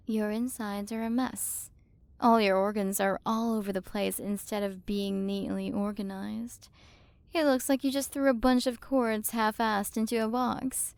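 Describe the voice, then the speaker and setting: A woman playing a haughty, curious alien galaxy queen in an ASMR roleplay, soft and condescending, possessive of her human. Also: a little high, creaky, dry recording. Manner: soft, condescending, teasing, at times whispered.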